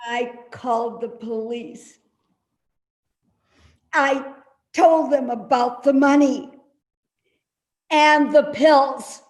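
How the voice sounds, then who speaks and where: A woman's voice: sits high, extremely slow, long pauses. A woman acting in a play reading as a stubborn, frail old mother, defiant and accusatory. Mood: accusing. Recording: echoey room.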